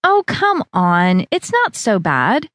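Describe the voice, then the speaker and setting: A woman voicing a pushy, upbeat young female fitness trainer who coaxes loudly. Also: mid-range voice, huge pitch swings, slow pace, dry recording, heavily acted. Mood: bossy. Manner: pushy, cheerful, loud coaxing.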